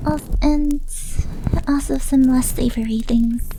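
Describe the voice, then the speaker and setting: A very high, girlish maid played by a woman speaks slowly and deferentially in a roleplay ASMR drama. She is shy, devoted and clingy, with breathy whispers over noticeable background noise. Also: fairly even intonation. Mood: sweetly clingy.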